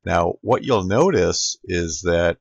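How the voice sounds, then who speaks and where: A man's voice: low-pitched, animated, slow, vocal fry, no room sound. A man narrating an informal screen-capture tutorial as an amateur radio operator, recorded close on a dull computer microphone.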